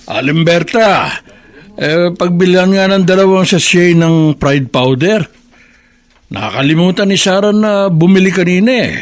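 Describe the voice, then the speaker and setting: A man's voice: high voice, normal speed, faint music behind, close to an everyday voice. An actor playing a kind, superstitious elderly grandfather who frets about his daughter. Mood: worried. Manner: gentle, worried, folksy. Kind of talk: radio drama acting.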